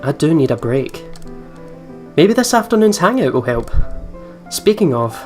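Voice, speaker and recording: A man's voice: a little high, sing-song, a little slow. A man hosting a let's play, reading a visual novel aloud and dropping in informal, sweary reactions. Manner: informal, giggly, sweary asides. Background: game's guitar music.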